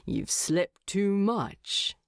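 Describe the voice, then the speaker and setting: A woman's voice: medium pitch, very animated, drawn-out words, full character voice. Woman acting a commanding, teasing dwarf king. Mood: gruff concern. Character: commanding, teasing adult male dwarf king, gruffly fond.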